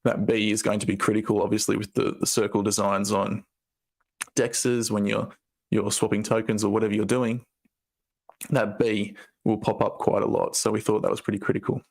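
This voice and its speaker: A man with a croaky voice, casually presenting a team update with loose, rambling phrasing. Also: fairly low pitch, level tone, moderate pace, darkish tone. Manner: loose, rambling, with fillers and restarts.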